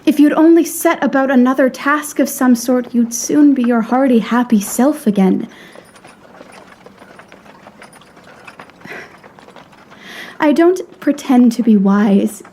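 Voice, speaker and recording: A woman's voice: high voice, normal speed, few pauses, warm tone. A woman playing a proud, frank young lady in a period audio drama, speaking in a refined, articulate and earnestly reproachful way. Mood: gentle, earnest.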